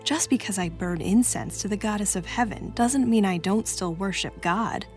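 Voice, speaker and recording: A woman's voice: mid-range voice, normal speed, a little mellow, soft background music, lightly acted. Woman acting a chatty, self-excusing townswoman. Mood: dismissive. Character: gossiping, self-excusing Judean woman idol worshipper. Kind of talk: dramatized children's Bible story acting.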